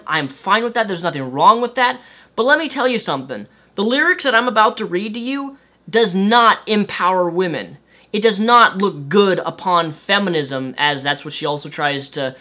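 Young man with a light, high voice ranting. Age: young adult. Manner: animated, exasperated, waves hands. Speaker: video host. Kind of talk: ranting commentary vlog. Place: bedroom with shelves of toys and games.

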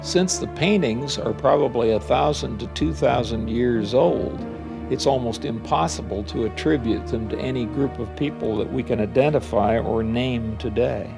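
A middle-aged historian speaks in a thoughtful, explanatory way with a gravelly edge to his voice. Music plays clearly beneath him, as in an old documentary. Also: medium pitch, normal speed. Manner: thoughtful, explanatory, some fillers. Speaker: historian interviewed. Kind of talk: explanatory interview. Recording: voice under background music.

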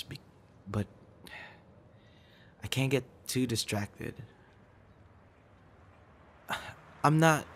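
A young man acting a busy, guarded friend in an intimate audio roleplay, with halting, stammering delivery. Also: medium pitch, a little hiss or hum, close to an everyday voice. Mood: irritated, evasive.

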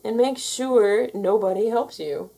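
A woman's voice: medium pitch, unhurried, gravelly, dark tone, slightly rough, poor audio. A woman voicing a stern, dismissive art teacher in a storybook. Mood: stern, scolding.

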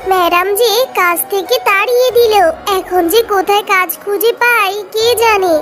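A woman's voice: extremely high pitch, normal speed. A woman voicing a humble, caring fox mother with an earnest, plaintive manner in a cartoon story. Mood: pleading, worried. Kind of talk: children's cartoon story dialogue. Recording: music behind the voice.

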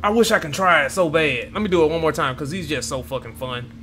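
Young guy hyped up reacting to a game at home, bright high voice, cusses a lot, little game music behind. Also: fast. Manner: excitable, swears a lot, talks to viewers. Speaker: gaming YouTuber. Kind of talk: casual gameplay reaction commentary. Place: home room with gaming chair.

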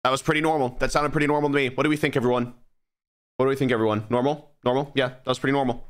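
A man's voice: mid-range voice, steady tone, rapid-fire. A young man streaming a horror game, giggling and making wry comments back at the screen.

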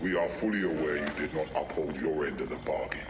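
An actor playing a cold, menacing crime boss in a video game, with measured, formal, icy delivery. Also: male voice, extremely low pitch, roomy sound, distant mic, noisy background. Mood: cold, threatening.

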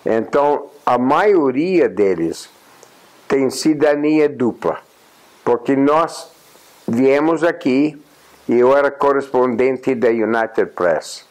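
An American man in his eighties, a native English speaker, giving an indoor interview and pausing often with "ah". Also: medium pitch, expressive, darkish tone. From United States. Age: 80s. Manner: unhurried, pausing often with "ah" and restarts. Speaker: interviewee, journalist and honorary consul. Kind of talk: reflective interview talk. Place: indoors, plain room.

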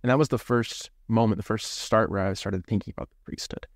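A young man in his twenties talks things through slowly, pausing and restarting as he searches for the right words. His voice sits low, and the studio sound is close and dry with no room echo. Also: steady tone, vocal fry. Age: 20s. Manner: thoughtful, hesitant, searching for words. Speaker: seminarian guest. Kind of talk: reflective interview talk.

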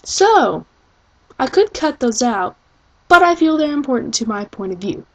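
A young woman talks casually as an amateur voice-over auditioner. Her voice is a bit husky and unhurried, and she rambles with a self-deprecating streak. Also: high voice, big pitch swings, a bit bright, poor audio. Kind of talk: casual self-introduction and chat between audition lines.

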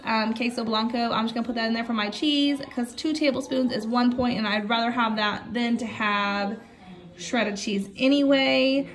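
A woman in her thirties speaks in a high voice, talking casually to her viewers. Her manner is chatty and friendly, like a home vlogger sharing her week from the kitchen. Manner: chatty, friendly, frequent small laughs.

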